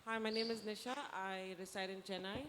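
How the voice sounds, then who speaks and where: A woman's voice: mid-range voice, little pitch movement, brisk. A woman briefly introducing herself to a group, a little shy. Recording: distant mic in a reverberant hall with clear background noise.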